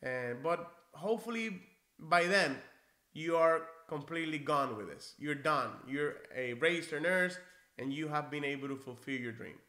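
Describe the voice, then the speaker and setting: A man's voice: on the high side, normal speed, bright, forceful tone, roomy sound. A man in his forties from Cuba, an instructor answering viewer questions on a live stream in a friendly, encouraging way, seated at a desk in a small office. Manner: friendly, encouraging, conversational with restarts. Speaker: NCLEX prep instructor. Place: small indoor office, seated in leather chair.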